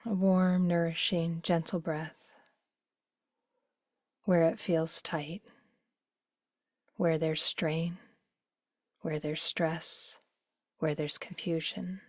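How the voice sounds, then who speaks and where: A woman with a fairly low voice guides a meditation in a very dry recording. Her delivery is gentle and soothing, taking its time. Phrase endings soften into breath. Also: flat delivery, extremely slow, long pauses, no echo.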